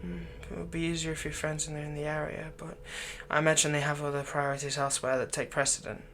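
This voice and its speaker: A man playing a doting, slyly manipulative villain captor in an intimate ASMR roleplay, speaking gently and coaxingly with unhurried pauses. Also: on the high side, level tone, slight background noise. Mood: tender, flattering.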